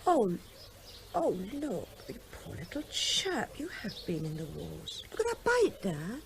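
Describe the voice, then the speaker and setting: A lively young daughter. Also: female voice, mid-range voice, unhurried, gravelly, a little hiss or hum, heavily acted. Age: young adult. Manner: playful, animated, coaxing. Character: lively young woman, vet's grown daughter. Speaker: Tess, the daughter. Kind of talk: vintage radio drama acting.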